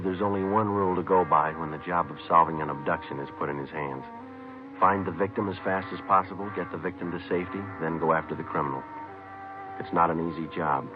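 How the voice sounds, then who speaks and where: A man acting a terse, businesslike police detective narrating his case in deadpan, clipped American radio style. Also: low voice, natural voice. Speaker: lead actor and narrator. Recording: tinny vintage radio recording with music.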